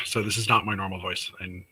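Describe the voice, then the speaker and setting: A man with a raspy sore throat from Canada, hosting a casual livestream chat and rambling through news with many fillers. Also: low voice, little pitch movement, normal speed, pushed and bright, low-quality recording. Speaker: host of a weekly livestream chat.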